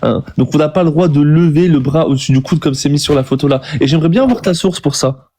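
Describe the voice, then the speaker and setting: A man's voice: a little high, fast talker, mellow. A man with a cold arguing insistently in a live debate, lecturing his opponent. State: has a cold. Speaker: debate guest.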